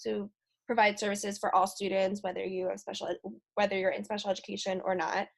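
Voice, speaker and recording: A woman's voice: medium pitch, brisk, creaky. An American woman, a special education teacher, talking casually and peppering her speech with "like". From United States. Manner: speaks in a flat, even tone with frequent "like". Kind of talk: casual conversation.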